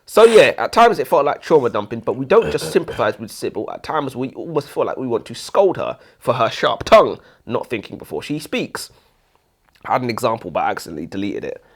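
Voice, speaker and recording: An animated British man in a casual book discussion. His pitch moves around a lot as he argues his opinions bluntly and informally, in the role of a co-host. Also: fairly quick. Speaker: book review podcast co-host.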